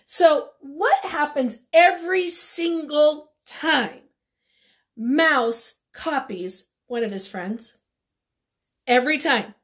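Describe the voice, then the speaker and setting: A woman teacher leading a read-aloud lesson for young children, encouraging and instructive, pausing after simple questions. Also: sits high, fairly bright tone. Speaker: elementary school teacher.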